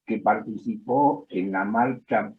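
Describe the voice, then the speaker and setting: A man from the audience commenting slowly and deliberately on a video call. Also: on the low side, phone-quality sound. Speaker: audience member.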